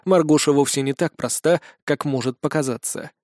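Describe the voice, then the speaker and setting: A male audiobook narrator with a high-pitched voice. He reads first-person fiction expressively, and the recording is dry, with hardly any room sound. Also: moderate pace, a little mellow, no room sound.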